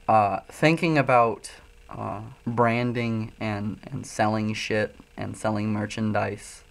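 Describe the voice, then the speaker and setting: Stoned Midwestern guy rambling on stream. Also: on the low side. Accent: Midwestern American. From Illinois, US. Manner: loose, profane, frequent ums and uhs. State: high, coughing from smoking. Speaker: streamer reading chat. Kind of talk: rambling livestream commentary.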